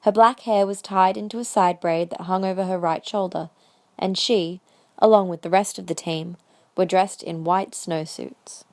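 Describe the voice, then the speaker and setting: A woman's voice: on the low side, steady tone, moderate pace. A woman reading scripted story narration as the narrator of a fan audio drama.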